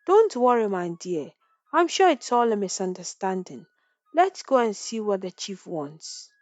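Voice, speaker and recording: A protective village father in an animated folktale , voiced by a woman. He is gentle, earnest and reassuring. The recording is very dry. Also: mid-range voice, a little slow, no room sound.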